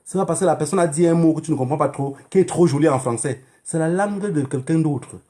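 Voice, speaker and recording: Higher-voiced Cameroonian man, emphatic. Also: quick pace, dark tone. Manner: emphatic and admonishing, with repeated phrases and rhetorical questions. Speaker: online activist livestreamer. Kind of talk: political livestream monologue to viewers.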